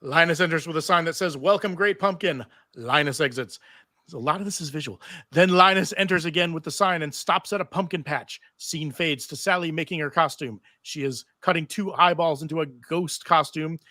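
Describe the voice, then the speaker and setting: A man's voice: a little high, fairly quick, pushed and bright, dry recording, clean studio sound. A man reading stage directions as narrator at a live scripted table read.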